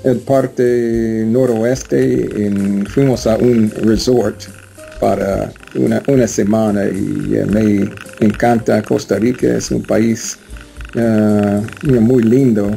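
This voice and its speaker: A man with an American English accent, a Spanish learner, answering interview questions in a halting way with many fillers. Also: fairly low pitch, fairly even intonation, gravelly, mellow, soft background music, low-quality recording. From United States. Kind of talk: casual interview chat.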